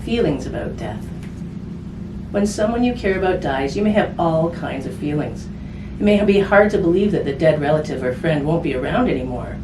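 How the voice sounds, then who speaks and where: A woman's voice: sits low, lively intonation, moderate pace. A woman reading a picture book aloud to children in a conversational way, with simple casual asides. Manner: conversational, simple phrasing aimed at children, with tag questions. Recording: roomy, distant mic with background noise.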